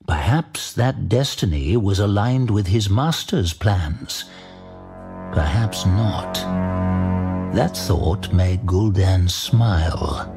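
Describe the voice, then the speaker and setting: Gravelly, slow-paced male fantasy narrator. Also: low voice, lively intonation. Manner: measured, grave storytelling. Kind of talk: dramatic scripted fantasy narration. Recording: music behind the voice.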